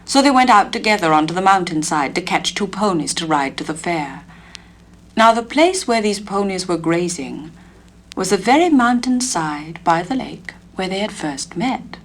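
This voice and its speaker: An English woman reading a folk fairy tale aloud for a spoken-word record, gentle and measured. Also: on the low side, a little hiss or hum. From England. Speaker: narrator.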